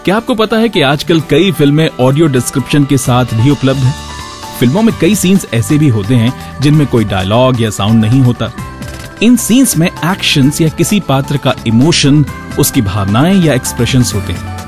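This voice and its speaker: A man's voice: medium pitch, sing-song, normal speed, darkish tone, soft background music. A man hosting a radio show, reading scripted narration and announcements.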